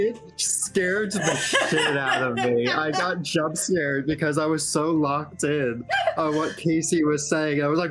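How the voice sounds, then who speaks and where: Casual, sweary mid-pitched voice. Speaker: voice actor on the show. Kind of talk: casual anecdote in a Q&A chat. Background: soft instrumental music.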